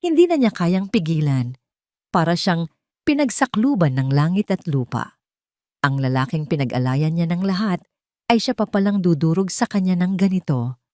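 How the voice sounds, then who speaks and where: Animated woman narrating drama, lowish voice. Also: normal speed, dry recording, clean studio sound. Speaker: story narrator. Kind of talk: dramatic scripted narration of a love story.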